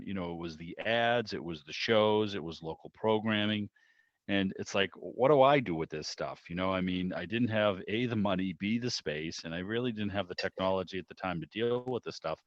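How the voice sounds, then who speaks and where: A man's voice: deep voice, no echo. An older man with an American accent chatting casually and in a rambling way as host of an online club meeting. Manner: rambling, conversational asides. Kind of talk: casual chat. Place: remote video call. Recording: dull lo-fi video call audio.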